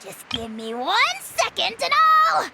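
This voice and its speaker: A squeaky tiny fairy companion. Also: heavy background noise, exaggerated voice. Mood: teasing. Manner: excitable, quick to comment. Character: tiny floating fairy companion, chirpy and blunt. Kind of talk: video game cutscene dialogue. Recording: game audio with loud music.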